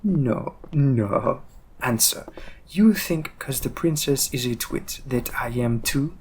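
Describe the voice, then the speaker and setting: Man playing a slow-talking, wry, cocky assassin. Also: mid-range voice, animated, slight background noise. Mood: teasing. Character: cocky, teasing male assassin, loyal and world-weary. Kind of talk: roleplay audio drama monologue.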